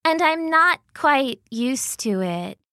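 A woman voicing Goldie, a dim but eager female bear who talks slowly and halts over her words. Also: sits high, lively intonation, sharp tone, no echo, studio quality, pitched above the performer's own voice, exaggerated voice. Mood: struggling. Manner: halting, slow, full of fillers.

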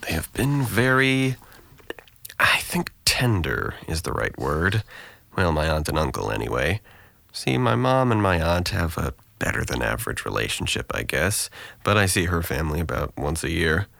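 A man's voice: deep voice, very animated, normal speed, creaky, a bit bright, no echo, heavily acted. An actor playing a depressed, guarded young man in an audio drama, with a dry, weary, self-deprecating manner. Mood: wry, reflective.

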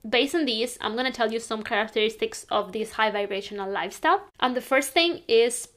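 A woman's voice: fairly high pitch, fairly quick, a bit bright. A young woman from northern Spain, talking earnestly and rambling a bit in a casual monologue. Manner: earnest, rambling, self-correcting. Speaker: lifestyle podcaster.

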